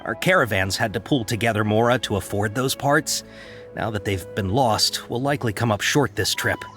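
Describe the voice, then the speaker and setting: A man's voice: lowish voice, normal speed, a bit bright, faint music behind, close to an everyday voice. A man playing a worried, apologetic caravan merchant in a game, explaining himself hesitantly. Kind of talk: English game dub dialogue.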